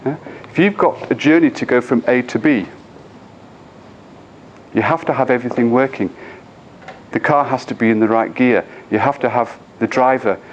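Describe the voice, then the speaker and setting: A middle-aged Englishman speaking at a conference, his voice sitting at an ordinary pitch. He keeps turning to the room with quick questions, and a faint hiss of background noise lies under his voice. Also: lo-fi sound. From England. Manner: engaging, asks the audience frequent questions. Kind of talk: conference talk.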